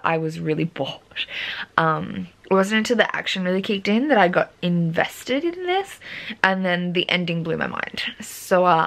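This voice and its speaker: Croaky-voiced young woman chatting casually. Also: lowish voice, fairly bright tone. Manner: chatty, lots of ums and asides. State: voice gone croaky. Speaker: book vlogger. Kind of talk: casual chat.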